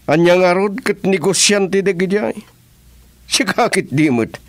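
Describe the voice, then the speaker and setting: An actor with a Filipino accent playing a commanding, confrontational crime boss in a radio drama. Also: male voice, high-pitched, a little slow, a little hiss or hum. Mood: irritated. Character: commanding male crime boss in shady business.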